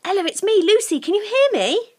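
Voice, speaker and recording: A woman's voice: very high voice, very animated, brisk, a little mellow, voice pushed up. A woman voicing an earnest, determined young girl in a children's story, urgent and plain-spoken. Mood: worried.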